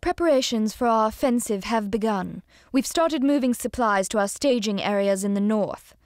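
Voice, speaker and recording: An actress playing a grave, determined noblewoman war leader in a video game, solemn and measured. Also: on the high side, a little mellow, dry recording, studio quality, natural voice. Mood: commanding. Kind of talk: video game cutscene acting, dramatic briefing.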